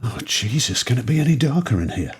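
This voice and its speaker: An actor playing a rattled, wry man in an audio drama, musing haltingly and swearing freely. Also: medium pitch, big pitch swings, noisy background, natural voice. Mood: grumbling. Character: rattled man who has crossed between eras, wry, sweary. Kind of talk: modern audio drama monologue.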